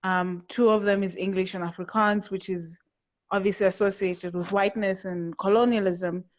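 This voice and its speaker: A woman's voice: medium pitch, steady tone, moderate pace. Woman host from Angola, mid-range voice, earnest and searching; muffled lo-fi video call sound. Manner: earnest, searching, with frequent restarts. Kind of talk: conversational interview. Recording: dull lo-fi sound.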